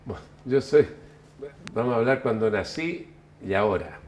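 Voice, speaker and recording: An older man from Chile answers an interviewer at an easy, unhurried pace. His voice sits at an everyday pitch, and his telling is reflective and musing, with frequent self-corrections, under a faint hiss. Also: lively intonation, darkish tone. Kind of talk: relaxed reflective storytelling.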